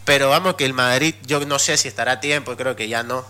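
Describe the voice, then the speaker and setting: A man's voice: mid-range voice, pushed and bright, clean tone. A man from Peru on a football talk show, giving opinions and reading out statistics. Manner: rapid, opinionated, reads out statistics. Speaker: stats panelist. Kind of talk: casual sports talk.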